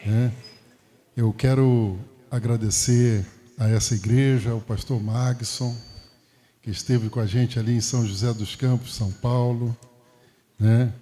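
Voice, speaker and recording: A man's voice: mid-range voice, fairly even intonation, a little slow, warm tone, long reverb tail. A middle-aged Brazilian man from Rio de Janeiro preaching as a guest pastor in a conversational way, often adding short tags that seek agreement. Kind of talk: church sermon with personal greetings.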